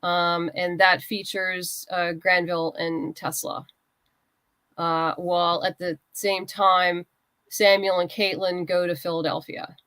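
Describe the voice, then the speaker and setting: A woman's voice: on the low side, flat delivery, slow, fairly bright tone, no echo. A woman speaking casually as a guest writer in an interview, explaining her creative process and acting out little asides.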